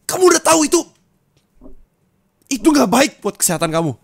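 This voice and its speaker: A man in an ASMR roleplay, scolding his partner bitterly. He moves between low muttering and raised angry reproach, recorded on a close mic. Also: very animated, a little fast, gravelly, dry recording.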